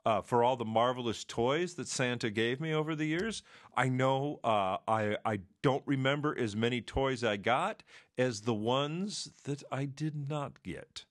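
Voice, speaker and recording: A man's voice: mid-range voice, a little slow, dry recording, studio quality. A middle-aged man with a Michigan accent, co-hosting a casual chat show and explaining things in long, rambling stretches full of restarts. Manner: rambling, restarts often, explains with computer comparisons.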